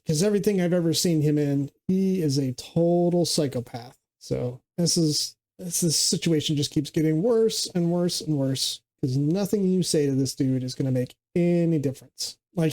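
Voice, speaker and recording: A man's voice: high-pitched, dark tone. An older man hosting a movie reaction, cracking profane jokes and talking back at the screen. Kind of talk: casual movie reaction commentary.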